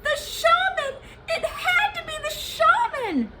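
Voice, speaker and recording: A woman voicing a slimy, excitable, greedy male henchman in a storybook, with a strained, fretful delivery. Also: very high voice, takes it slowly, very noisy, pitched above the performer's own voice, exaggerated voice. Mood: shocked. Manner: gasping, fretful, eager.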